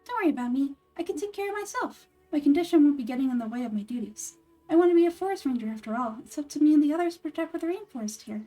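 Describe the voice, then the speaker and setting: A woman's voice: high-pitched, animated, very fast, mellow, faint music behind, pitched above the performer's own voice. A woman voicing a shy, earnest young girl training as a forest ranger in a video game, speaking hesitantly and sincerely. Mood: earnest, apologetic.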